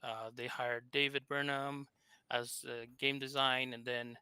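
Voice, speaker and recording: A man's voice: medium pitch, little pitch movement, a little slow, pushed and bright, clear, resonant tone, no room sound. A man from Argentina with a thick Argentine Spanish accent, a game developer talking casually with hesitant fillers. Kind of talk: casual interview chat.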